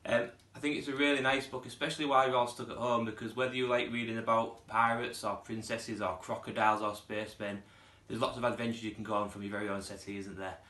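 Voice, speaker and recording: A man's voice: lowish voice, fast, roomy sound. A man, a primary school teacher reading a children's storybook aloud expressively. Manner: expressive, putting on character voices.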